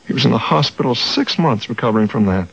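Radio drama: worried, earnest husband, animated mid-range voice, slightly dull recording with music. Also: male voice, medium pitch, quick pace, gravelly, slightly rough. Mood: troubled, regretful. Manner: urgent, earnest. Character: worried, loyal friend, earnest married man. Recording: dull sound, music behind.

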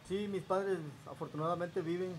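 Male interviewee, forties, southern Mexican accent, fairly high voice, hesitant and unhurried, recorded with audible ambient noise. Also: fairly even intonation, rough recording. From Chiapas, southern Mexico. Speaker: interview guest. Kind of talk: reminiscing in a relaxed interview. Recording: noticeable background ambient noise.

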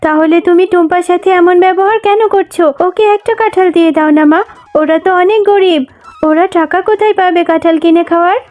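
A woman's voice: very high-pitched, fast, a little mellow. A woman voicing a kind-hearted little tiger girl in a cartoon, childlike and coaxing. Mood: pleading. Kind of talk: cartoon voice acting. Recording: music under the voice.

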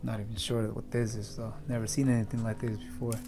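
A man's voice: on the low side, level tone, fast, music in the background. Young man vlogging outdoors, thinking aloud. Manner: thinking aloud, talking straight to viewers. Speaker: urban explorer vlogger. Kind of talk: casual vlog narration while exploring. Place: outdoors on open hillside with brush. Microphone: handheld camera at arm's length.

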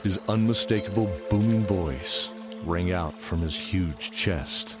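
A male narrator with a very low voice tells a fantasy tale over music. His delivery is scripted, measured and dramatic.

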